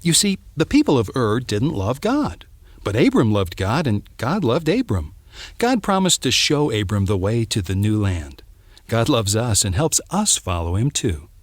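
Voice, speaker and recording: A man narrating a scripted children's Bible story in an expressive way, drawing out words for emphasis. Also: medium pitch, unhurried, no room sound.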